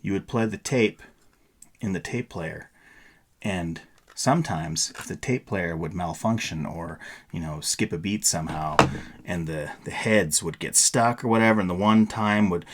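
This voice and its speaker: Low-voiced man, chatty show-and-tell. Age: middle-aged. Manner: chatty, playful, rambling. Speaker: vlogger. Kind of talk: casual explanatory show-and-tell.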